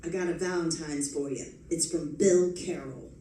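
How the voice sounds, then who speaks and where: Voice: mid-range voice, moderate pace, mellow, natural voice. A performer playing a menacing, slangy gangster's messenger in the style of 1940s radio drama. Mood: sly menace. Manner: slangy, tough-guy delivery. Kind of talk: live recreation of 1940s radio acting. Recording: roomy, distant, faint background noise.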